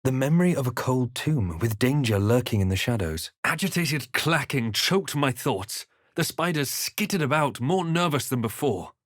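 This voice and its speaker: A man playing a composed, wry adventurer hero in a video game, telling events in a measured, literary way. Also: mid-range voice, no room sound, studio quality, close to an everyday voice. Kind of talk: scripted video game narration and battle lines.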